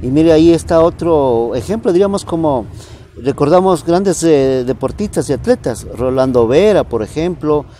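A middle-aged man interviewing a former footballer, asking questions and giving short prompting replies, seated outdoors on a football pitch. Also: lively intonation, moderate pace, mellow, slight background noise. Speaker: sports interviewer.

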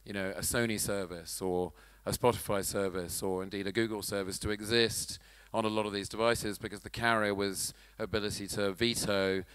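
A man in his forties moderating a conference panel on a handheld mic, brisk and steering the talk. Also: fairly low pitch, steady tone, fairly bright tone, clean tone. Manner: brisk, laughs easily, steering the chat. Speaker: panel moderator and company chairman. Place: large conference hall stage.

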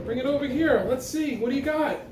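A man's voice: very high-pitched, fairly quick, recorded from a distance, heavy background noise. A middle-aged man speaking expressively to children, like a school announcer reading aloud. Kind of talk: morning announcements and children's story read-aloud. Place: living room, indoors. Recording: roomy, recorded away from the mic.

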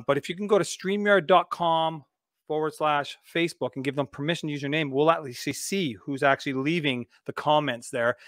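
A man's voice: on the high side, no room sound, clean studio sound. Chatty man hosting a podcast. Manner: relaxed, chatty, many fillers. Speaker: podcast host. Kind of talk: casual video podcast interview. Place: home studio, indoors. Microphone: studio mic on a video call.

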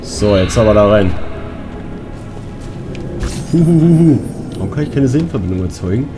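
A man with a fairly low voice plays a video game and comments casually as he goes. He talks to himself in blunt, crude bursts and interjections, over clearly audible game music. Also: animated, normal speed. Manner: muttering to himself, crude swearing, exclamations. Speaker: let's player.

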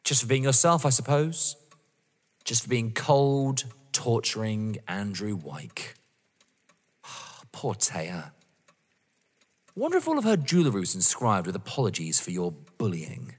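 A male actor voices a taunting young man in a radio-style drama. He savours each word with theatrical mockery, his pitch swinging dramatically. The room around him rings with long reverb. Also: wildly swooping pitch, unhurried, long pauses, full character voice. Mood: needling. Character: taunting, vengeful young man playing a deadly game. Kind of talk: audio drama acting.

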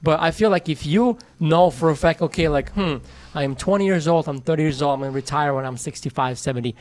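A man in his thirties talking animatedly in a casual podcast chat about money, recorded on a boom mic in a small studio. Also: quick pace, no echo. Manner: animated, talks with his hands, laughs often. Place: small studio with brick wall. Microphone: boom mic on arm.

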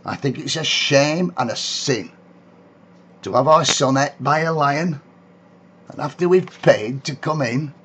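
A man's voice: on the high side, unhurried, fairly bright tone, breathy edge, pitched above the performer's own voice. A man voicing a stolid, penny-pinching working-class father with a Lancashire accent, who speaks blunt and aggrieved. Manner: blunt, aggrieved, matter-of-fact.

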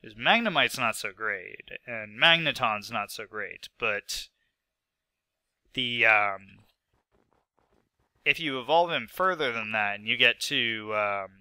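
Young man with a head cold, rambling and self-mocking game commentary, bright smooth voice, dry close mic. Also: medium pitch, animated, takes it slowly, long pauses, no echo. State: sick with a stuffy nose. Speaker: gaming video host. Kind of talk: casual gameplay commentary.

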